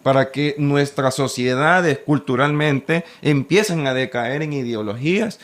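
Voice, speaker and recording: A man's voice: mid-range voice, unhurried. Earnest guy from el salvador on a church panel, explains stuff, lots of restarts. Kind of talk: casual discussion.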